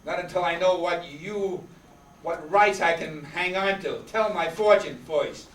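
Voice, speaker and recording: Guy doing a cocky flirty radio noir hustler, pretty high voice, slangy, roomy sound w/ some noise. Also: measured pace, a bit bright. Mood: cocky, teasing. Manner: slangy, sardonic. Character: cocky, flirtatious male noir wise guy, money-hungry. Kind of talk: 1950s radio noir acting on stage. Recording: echoey room, distant mic, background noise.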